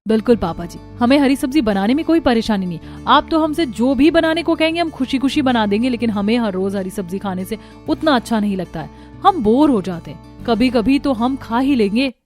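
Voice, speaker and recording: A young daughter-in-law from a cartoon family story, voiced by a woman, with a fairly high voice. She reasons politely but firmly. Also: expressive, fairly quick, a little mellow, soft background music, natural voice.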